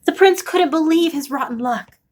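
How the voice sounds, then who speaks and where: A woman reading a children's picture book aloud as an expressive storyteller. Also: high-pitched, normal speed.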